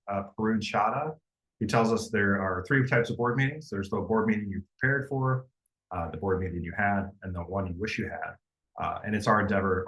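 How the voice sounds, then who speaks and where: A man's voice: lowish voice, fairly bright tone, low-quality recording. A man hosting a webinar, introducing a guest in a casual way with frequent fillers. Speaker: webinar host. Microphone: video call.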